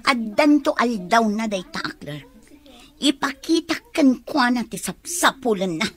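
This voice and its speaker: A woman's voice: fairly high pitch, animated, a little slow. A woman acting in a radio drama as a scornful, watchful female office schemer with an Ilocano accent. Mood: menacing, suspicious.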